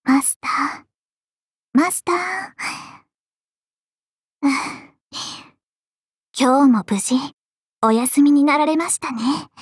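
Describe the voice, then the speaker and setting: Lovestruck young dragon girl, polite, possessive, slow delivery, dry close studio sound, anime game. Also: high-pitched, breathy edge, no echo, exaggerated voice. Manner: polite, genteel, softly deliberate. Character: devoted, possessive young dragon maiden in love. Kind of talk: Japanese anime game voice lines.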